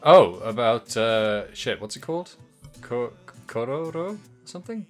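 A man's voice: mid-range voice, big pitch swings, measured pace, faint music behind. A man co-hosting a casual podcast, rambling loosely, laughing often and trailing off mid-sentence. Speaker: podcast co-host.

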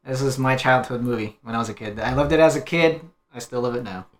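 A man's voice: medium pitch, quick pace. A man co-hosting a casual movie review, talking informally in short phrases. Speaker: co-host of a movie review show. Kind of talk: casual group review chat.

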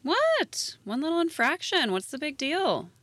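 An actress playing a jaded, sarcastic high school teacher, an American woman with a blunt, deadpan manner, in a naturalistic audio drama. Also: unhurried, no echo, full character voice. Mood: needling.

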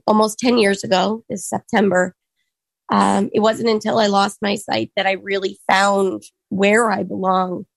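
A hoarse woman in her thirties sharing her personal story in an earnest, reflective way, pausing often with ums. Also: mid-range voice, no echo. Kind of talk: personal testimony talk.

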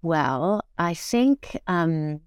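A middle-aged woman chatting reflectively as an interview guest, animated and thinking aloud, often doubling back on her words. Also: sits low, drawn-out words, clear, resonant tone, dry recording, clean studio sound. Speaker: interview guest, musical theatre actor. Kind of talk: casual reflective conversation.

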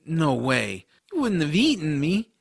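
A man playing a casual, excitable boy hero, telling a fantasy adventure in the first person. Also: fairly high pitch, a little slow, gravelly, breathy edge, dry recording, clean studio sound, full character voice. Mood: amazed, teasing. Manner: casual, boyish phrasing. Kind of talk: first-person audiobook narration and drama.

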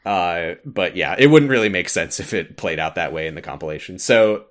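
Guy podcaster w a lowish voice, super animated, recapping game stuff, wry and kinda sweary. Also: brisk. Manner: wry, swears casually, acts out quoted lines. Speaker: podcast host. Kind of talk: casual video game recap chat.

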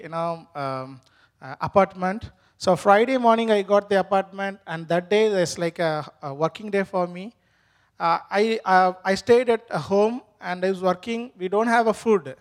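A man with an Indian accent shares a heartfelt testimony, stopping often to search for words. His voice sits high for a man. He sounds earnest and thankful. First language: Tamil. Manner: halting, many fillers, earnest and grateful. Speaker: church member giving testimony. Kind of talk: personal testimony in an interview.

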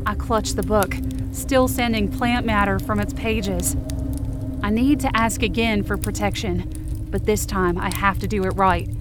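A woman narrates a dramatic first-person story in an earnest, suspenseful way. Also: mid-range voice. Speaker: narrator of a fiction podcast, in character. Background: music under the voice.

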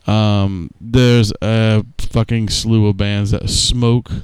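A man with a very clear, smooth voice in a dry, close recording. He talks as a podcast co-host, casual and jokey, swearing freely. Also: on the low side, flat delivery, slow, a little mellow, no room sound. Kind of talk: casual comedic banter, profane.

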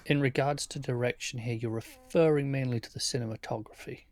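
A man co-hosting a film podcast who is ill and losing his voice, hoarse and sometimes dropping to a whisper. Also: mid-range voice, fairly even intonation, brisk, a little mellow. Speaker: podcast co-host. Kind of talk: casual chat.

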